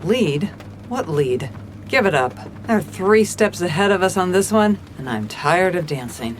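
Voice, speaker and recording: A woman's voice: lowish voice, expressive, unhurried, a little hiss or hum. An actress playing a hard-boiled, weary female police commissioner in a noir audio drama, with a wry, clipped delivery. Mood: bitter, defeated. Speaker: narrator and lead character.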